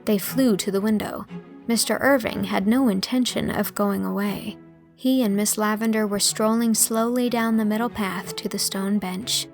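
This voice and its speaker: A woman's voice: medium pitch, steady tone, normal speed, soft background music. A woman narrating an audiobook in a scripted storytelling style.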